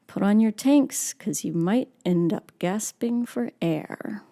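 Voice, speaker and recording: A woman's voice: medium pitch, animated, slow pace, vocal fry, dark tone. A woman hosting a horror audio drama series, reading scripted introductions. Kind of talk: scripted announcing.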